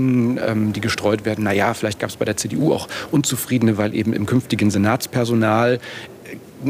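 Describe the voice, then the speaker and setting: A middle-aged man, a political reporter, giving a live on-air interview in a halting, reflective way with repeated words. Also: fairly low pitch. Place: large indoor parliament foyer. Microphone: handheld broadcast microphone. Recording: clear background noise of busy hall.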